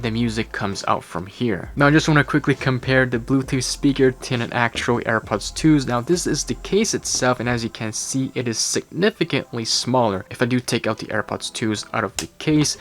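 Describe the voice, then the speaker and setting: A man's voice: mid-range voice, a bit bright. A man narrating a tech gadget review in an explanatory, step-by-step way. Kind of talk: product review voice-over.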